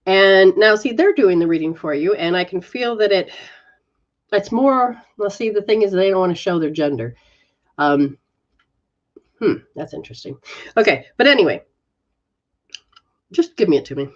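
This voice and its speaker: Soft-coaching woman healer, muffled sound. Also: mid-range voice, animated, normal speed, pauses often. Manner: soft, coaching, repetitive phrasing. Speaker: metaphysical practitioner giving readings. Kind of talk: live guided reading and healing session.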